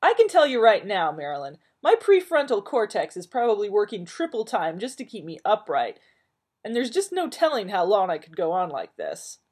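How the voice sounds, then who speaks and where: A woman's voice: medium pitch, wildly swooping pitch, moderate pace, a little mellow, husky, pitched above the performer's own voice. A woman voicing a neurotic, irritable male doctor who complains in an exasperated, whiny way.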